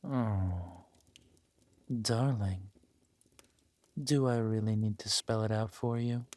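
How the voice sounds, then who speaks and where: A man playing a menacing, possessive villain warlord and protective lover in a scripted roleplay audio drama, speaking deliberately and commandingly, dropping into breathy murmurs. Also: lowish voice, fairly even intonation, a little mellow. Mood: possessive, vengeful.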